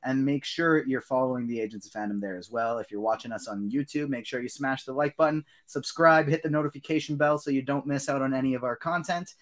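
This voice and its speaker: A man's voice: mid-range voice, quick pace, hardly any pauses. A man hosting a podcast in an upbeat, emphatic, enthusiastic way. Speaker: podcast host.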